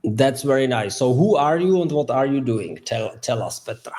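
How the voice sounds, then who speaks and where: A man's voice: medium pitch, lively intonation, mellow. A man hosting a live online interview as a career consultant, speaking in slightly phrasing over a video call. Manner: halting, slightly non-native phrasing. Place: home or office in Switzerland.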